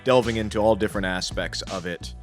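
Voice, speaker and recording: A man's voice: fairly low pitch, brisk, soft background music. A man co-hosting a podcast, explaining background details in an informal, conversational way. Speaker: podcast co-host. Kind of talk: casual explanatory podcast chat.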